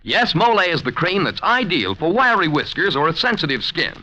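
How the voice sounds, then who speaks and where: A man's voice: fairly high pitch, big pitch swings, brisk, bright, forceful tone, low-quality recording. A man announcing on 1940s radio in an emphatic, punchy salesman's delivery. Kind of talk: 1940s radio commercial pitch.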